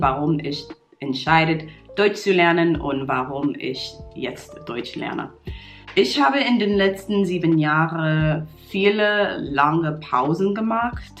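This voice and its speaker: A woman in her twenties from New York with an American English accent, talking casually to the camera in learner's German with small slips and fillers. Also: low voice. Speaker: vlogger. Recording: roomy echo, soft guitar music.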